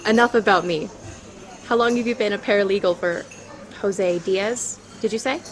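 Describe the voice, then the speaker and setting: A woman's voice: medium pitch, normal speed, close to an everyday voice. A woman acting in an audio drama as a flirtatious professional on a coffee date, self-assured and coy. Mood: flirtatious, curious.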